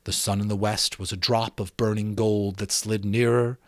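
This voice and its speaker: A man's voice: lowish voice, normal speed, no echo, studio quality. A middle-aged man reading a story aloud as an amateur narrator, in a dramatic, theatrical style. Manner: theatrical, expressive.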